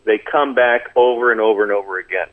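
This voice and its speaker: A man's voice: on the low side, brisk. Man on a tinny phone line. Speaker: ministry program director, interview guest. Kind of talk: explanatory radio interview talk. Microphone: phone call. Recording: tinny, narrow telephone line.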